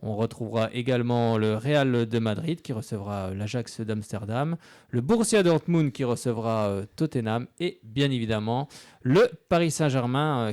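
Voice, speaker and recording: A man's voice: mid-range voice, a little mellow, no echo, studio quality. A man hosting a live sports show on local radio, chatty and full of hesitant fillers.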